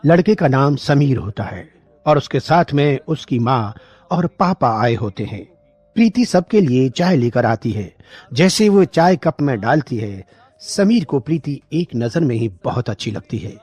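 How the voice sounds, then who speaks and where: Husky male story narrator. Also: mid-range voice, very animated, measured pace, a little mellow, dry recording.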